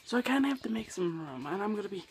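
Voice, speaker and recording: A man's voice: high voice, big pitch swings, rapid-fire, vocal fry. A young man vlogging casually from his bedroom on a handheld camera, rambling and self-deprecating, with a voice that is wearing out. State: losing his voice. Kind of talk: casual vlog talk to camera. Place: own bedroom.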